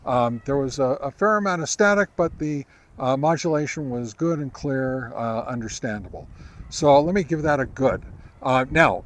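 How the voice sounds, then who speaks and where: An American man giving a casual field-test review outdoors, speaking in a halting way as he thinks aloud. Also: a little high, a little hiss or hum. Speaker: amateur radio reviewer. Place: outdoors in a park.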